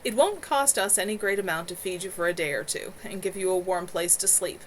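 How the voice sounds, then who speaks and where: A woman's voice: fairly low pitch, brisk, slight background noise, close to an everyday voice, low-quality recording. A woman voicing a kindly, motherly witch who welcomes guests firmly and brooks no argument. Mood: brisk, kindly. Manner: warm but firm, brooks no argument.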